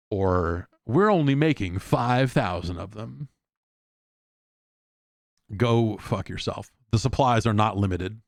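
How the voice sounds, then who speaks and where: A man's voice: fairly low pitch, no room sound, clean studio sound. A man hosting a solo podcast in a casual, rambling, conversational way, with a blunt, sardonic edge. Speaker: podcast host.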